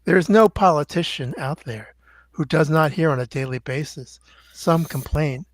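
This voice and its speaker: An older man, a doctor guest, answering in a measured, halting way with many fillers. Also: fairly high pitch, dry recording. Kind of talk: interview answers.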